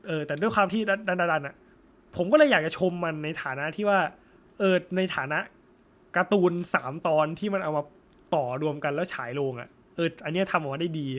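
ผู้ชายเสียงสูง คุยสบายๆ ค่อยๆ เรียบเรียงคำพูด. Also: male voice, fairly high pitch, fairly quick, fairly bright tone. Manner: thoughtful, searching for words, many fillers. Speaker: co-host. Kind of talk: casual chat about a film.

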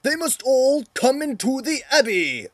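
A man's voice: very high voice, big pitch swings, measured pace, heavily acted. A man voicing a solemn, stubborn mouse abbot in a cartoon parody dub, formal and insistent.

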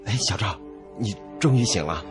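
Young male traveller, man acting, suspense radio play: lowish voice, clear music bed. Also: lively intonation, normal speed, close to an everyday voice. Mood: concerned. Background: background music.

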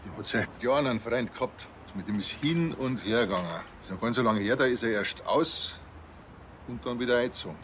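An actor playing a chatty apartment-block caretaker in a crime comedy, colloquial and rambling, with a Bavarian accent. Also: male voice, mid-range voice, brisk, a bit bright, slight background noise, close to an everyday voice. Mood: helpful, gossipy.

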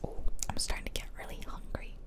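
A woman whispering casual, musing ASMR commentary close to the microphone as she plays a game. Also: fast talker. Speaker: game streamer. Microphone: close microphone, ear-to-ear whispering.

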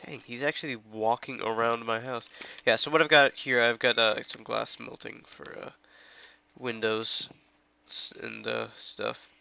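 A congested young man talking through a game on a headset mic, chatty and rambling, with sudden excited outbursts. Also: medium pitch, a little slow, creaky, clear, resonant tone, dull audio. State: congested from illness. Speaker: gaming channel host. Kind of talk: casual let's play commentary.

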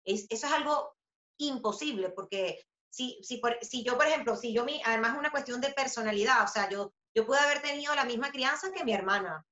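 A woman in her thirties with a Venezuelan accent, answering interview questions in a casual, animated way, with many fillers and restarts. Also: fairly high pitch, fairly quick. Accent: Venezuelan Spanish. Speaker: interviewee, author and journalist. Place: home room with a book poster. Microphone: video call webcam. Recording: roomy, slightly dull webcam audio.

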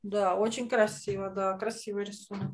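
A woman's voice: mid-range voice, flat delivery, moderate pace, a little hiss or hum. A woman teaching an online drawing lesson to children, encouraging and full of praise. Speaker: art teacher. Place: indoors on a video call.

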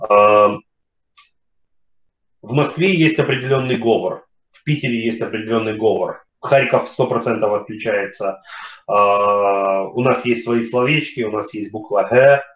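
A man in his forties from Kharkiv, giving a casual webinar talk and answering questions, hesitant with many fillers and pauses, on a video call from a room at home. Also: lowish voice, a bit bright. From Kharkiv, Ukraine. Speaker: webinar speaker, company CEO. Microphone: webcam on video call. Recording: roomy, dull video call audio.

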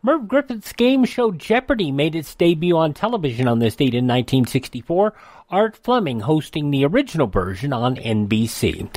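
A man's voice: a little high, darkish tone, dry recording. An American man hosting radio, reading a this-day-in-history rundown in a casual way. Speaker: radio host.

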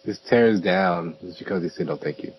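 A man's voice: low-pitched, moderate pace, highs cut off, a little hiss or hum. A young man playing a block-building game with a friend, joking around in crude, casual banter. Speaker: gamer. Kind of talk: casual gaming chat.

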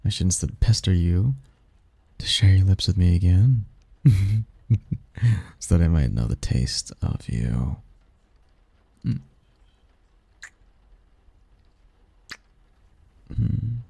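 Velvety, dark-toned demon lover, acted by a man. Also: sits low, lively intonation, slow, gravelly, pauses often, breathy edge, dry recording, full character voice. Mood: tender, flirtatious. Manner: tender, teasing, old-fashioned courtly phrasing. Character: doting, possessive male sleep demon lover. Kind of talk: intimate romantic ASMR roleplay.

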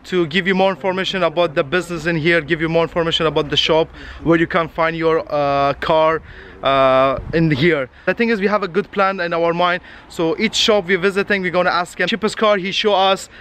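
A man's voice: fairly high pitch, steady tone, brisk, fairly bright tone. A young man in his twenties talking to a handheld camera while walking through an outdoor car lot, in chatty, non-native English. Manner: non-native phrasing, chatty, pointing out cars. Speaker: vlogger. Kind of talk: casual walk-and-talk vlog. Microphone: camera held at arm's length. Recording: faint outdoor street noise.

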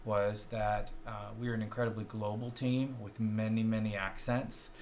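A man's voice: on the low side, level tone, normal speed, darkish tone. A middle-aged man with a light Kentucky accent co-hosts a casual tech chat over a video call. He sounds upbeat and chuckles often while he explains things. His voice comes through wired earbuds from an indoor room. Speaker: co-host.

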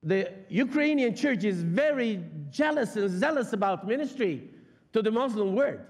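Iranian-born guy preaching from a church stage, highish voice, big echo off the hall, really emphatic. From Iran. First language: Persian. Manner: impassioned, emphatic, slow weighty stresses. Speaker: guest preacher. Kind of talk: sermon. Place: large church sanctuary stage. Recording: long reverb of a church hall.

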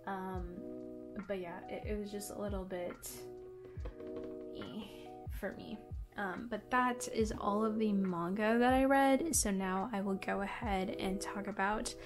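Casting: young woman book vlogger, relaxed chatty delivery, soft music underneath. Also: medium pitch, a little slow. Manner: chatty, with many ums and likes. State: voice going hoarse. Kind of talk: casual monthly reading wrap-up. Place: home, by a bookshelf.

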